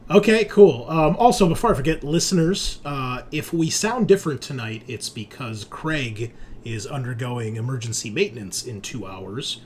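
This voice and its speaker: A man's voice: mid-range voice, animated, normal speed, few pauses, a little hiss or hum. A man hosting a tabletop roleplaying show as game master, chatting casually and informally with some swearing. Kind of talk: casual podcast chat.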